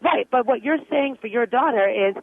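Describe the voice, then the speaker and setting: A woman's voice: fairly high pitch, normal speed, creaky, a crowd in the background. A performer playing an earnest, indignant married woman who is insistent and righteous as a caller on a radio comedy show. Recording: thin telephone line.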